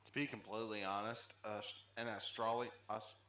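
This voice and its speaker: A man's voice: fairly low pitch, little pitch movement, moderate pace, sharp tone. A man chatting casually at a tabletop game, folksy and free with swearing. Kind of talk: casual chat. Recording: roomy, echoing, faint background noise.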